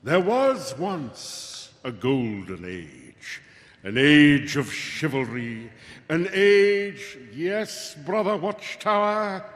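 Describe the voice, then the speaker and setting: Slow, pompous cult grand master. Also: male voice, on the high side, very animated, a bit bright, heavily acted. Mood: grandiose. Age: middle-aged. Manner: grandiose, slow ceremonial delivery. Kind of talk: live radio-theatre acting. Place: stage in a large hall. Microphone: stand microphone. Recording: echoey live stage hall.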